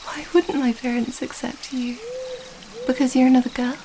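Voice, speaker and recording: A woman playing an earnest, affectionate, slightly flustered young princess in an intimate ASMR romance roleplay, speaking softly and hesitantly, rambling gently. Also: sits high, steady tone, normal speed, background noise, lightly acted. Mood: tender, reassuring.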